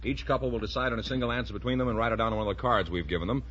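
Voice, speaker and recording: A man's voice: medium pitch, rapid-fire, a bit bright, a little hiss or hum. An American man doing scripted announcing for a 1950s radio show. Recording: dull 1950s radio recording.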